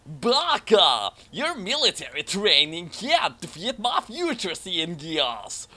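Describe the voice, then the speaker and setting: A man's voice: high voice, wildly swooping pitch, slow pace, pushed and bright, full character voice. A man reading the part of a smug, boastful fighter from an anime fan story.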